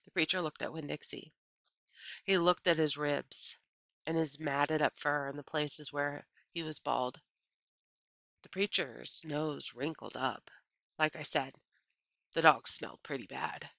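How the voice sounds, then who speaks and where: A middle-aged woman reading a children's novel aloud like a teacher, with expressive, deliberate storytelling and emphasized key words. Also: deep voice, creaky, no echo. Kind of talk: children's novel read-aloud, scripted narration. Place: small indoor room with bookshelves. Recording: dull, lo-fi computer microphone sound.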